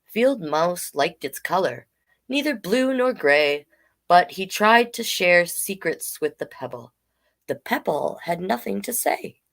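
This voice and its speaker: A woman's voice: on the low side, expressive, slow, vocal fry. A woman hosting a storytime, reading picture books aloud in an informal way with chatty asides. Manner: informal, interjects comments while reading. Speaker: drag king storyteller, host.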